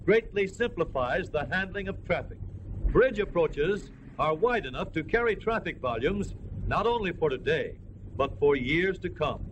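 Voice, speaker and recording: A man's voice: on the high side, dull audio, noisy background. An American man reading a formal, earnest, declamatory script as narrator of a 1930s educational film, on an old film soundtrack.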